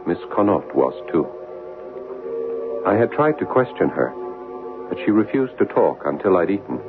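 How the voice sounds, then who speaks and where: Troubled man, deep, measured, dramatic radio-play delivery, music behind. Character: troubled grown son, wary, stubborn, narrating his eerie visit. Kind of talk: old-time radio drama acting and first-person narration. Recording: tinny old radio broadcast, music behind.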